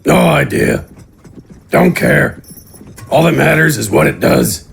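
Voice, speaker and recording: A man voicing a bored, curt, burly adventurer in a fantasy audio drama, with a gravelly, husky voice. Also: fairly high pitch, slow, a little hiss or hum, exaggerated voice. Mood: dismissive.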